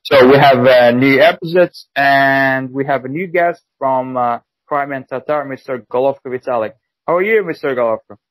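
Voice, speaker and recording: A man from Uzbekistan with an Uzbek accent hosting a casual interview in halting English, often repeating his phrases. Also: medium pitch, no room sound, low-quality recording. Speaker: podcast host.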